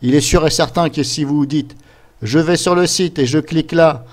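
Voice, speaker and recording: A man presenting a casual screen-capture software tutorial, explaining things step by step in an informal, chatty way. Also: a little high, moderate pace. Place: voice-over recorded at a computer during screen capture.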